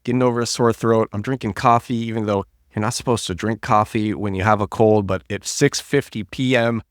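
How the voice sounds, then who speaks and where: Male podcast host, recovering from a cold, low husky delivery, dry close sound, animated rants with restarts. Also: fairly quick, dry recording. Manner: animated, restarts and repeats words. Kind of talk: solo podcast monologue.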